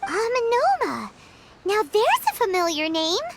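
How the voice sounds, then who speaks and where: Tiny excitable fairy sidekick, very high and wildly swooping, slow and drawn-out. Game music plays loudly behind. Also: female voice, full character voice. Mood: chatty. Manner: excitable, exclamatory, chattery. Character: tiny excitable floating fairy companion, female. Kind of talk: video game voice acting. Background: game soundtrack music.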